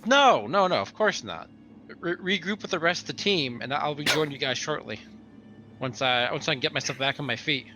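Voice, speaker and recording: A man's voice: fairly high pitch, animated, moderate pace, music in the background. A man playing a tabletop roleplaying game, improvising his character's talk in a casual way.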